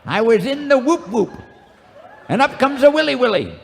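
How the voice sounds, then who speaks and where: An actor playing a cantankerous, boastful old cowboy sidekick, spinning folksy, rambling tales in a live old-time radio comedy. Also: male voice, very high-pitched, sing-song, moderate pace, creaky, slight background noise, full character voice. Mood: animated, boastful. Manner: folksy, rambling, theatrical storytelling. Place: live radio theater stage. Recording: echoey theater stage, music behind.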